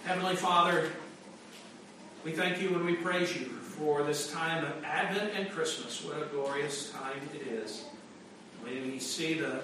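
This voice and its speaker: A man's voice: on the high side, noisy background. A man preaching a sermon as pastor, conversational and full of restarts and fillers, in a church. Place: church sanctuary. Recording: echoey church from a distance.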